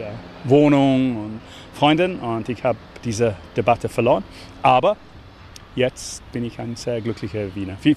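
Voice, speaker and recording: English-accented man, mid-pitched, walking through city streets with traffic faint behind, halting and animated. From England. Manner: hesitant, lively, many fillers. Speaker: guest, walking tour guide. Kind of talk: casual chat while walking.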